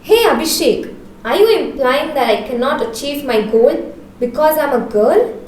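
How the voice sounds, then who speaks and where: Teen girl acting eager indian girl in a school skit, lively high voice, echoey room. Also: measured pace. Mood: indignant, determined. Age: teenager. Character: ambitious, excitable girl dreaming of the armed forces. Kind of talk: rehearsed school skit dialogue.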